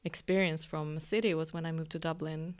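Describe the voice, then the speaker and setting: A woman from northern Sweden, a yoga teacher speaking as a podcast guest, talking reflectively with many pauses and ums. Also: low-pitched, level tone. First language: Swedish. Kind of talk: reflective casual conversation.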